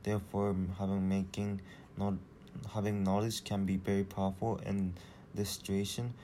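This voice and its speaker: A man's voice: on the low side, level tone, moderate pace, mellow, a little hiss or hum. A teenage boy from Japan, a native Japanese speaker, giving a school presentation in a hesitant way, with many fillers and restarts. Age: teens. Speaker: student presenter.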